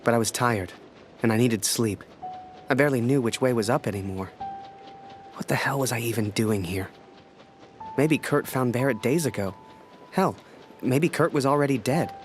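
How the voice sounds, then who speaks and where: An actor playing a weary, disillusioned young war photographer, narrating a game story in a rueful, introspective way with music behind. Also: male voice, mid-range voice, moderate pace, long pauses, close to an everyday voice. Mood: weary, troubled. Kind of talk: reflective first-person game narration.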